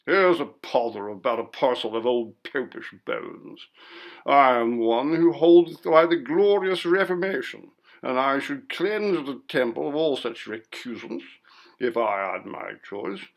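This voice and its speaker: A man acts out a bold, pompous gentleman from a storybook ghost tale. He speaks in emphatic, old-fashioned phrases with a gravelly creak, full of bluster and scorn. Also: mid-range voice, lively intonation, unhurried, pitched above the performer's own voice. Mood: scornful indignation. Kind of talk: dramatised ghost story reading.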